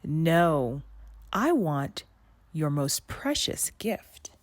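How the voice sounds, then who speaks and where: A scheming, sinister sea witch from a children's storybook, acted out by a woman narrator. The voice is low and rasping, and the words come slowly, with menace and command. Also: big pitch swings, no room sound, studio quality, exaggerated voice. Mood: scornful. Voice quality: croaky, rasping.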